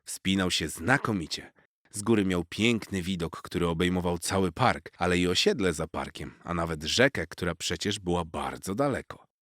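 A man's voice: low-pitched, expressive, normal speed, a bit bright, no echo. A man narrating a children's story expressively from a script, close on the mic.

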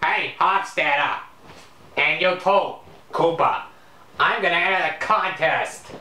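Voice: medium pitch, takes it slowly, sharp tone, echoey room, far from the mic, voice pushed up. A narrator voicing a cocky, taunting male rival in a homemade story reading. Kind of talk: amateur fan-fiction story reading.

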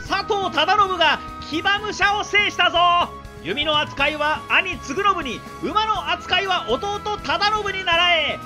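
A cheeky, bragging samurai in a radio drama. His pitch is very high for a man and leaps about. Loud music runs under his rousing delivery. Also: animated, slow pace, exaggerated voice. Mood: rousing, boastful. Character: brash boastful samurai who cheers from the rear.

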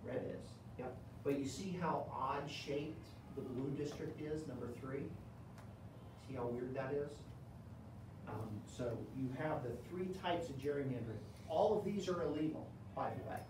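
A man's voice: medium pitch, moderate pace. Male teacher in an echoey classroom. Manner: conversational, asks the class many questions. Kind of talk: classroom lecture. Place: classroom with a large screen. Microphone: far camera. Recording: echoey classroom from far off, noisy.

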